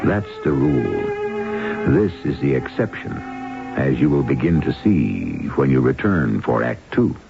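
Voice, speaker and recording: Male narrator, ominous and measured, creaky bass with big swings, muffled vintage radio sound. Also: sing-song. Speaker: radio drama host and narrator. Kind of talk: scripted dramatic narration, 1970s radio. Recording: dull old radio broadcast with music.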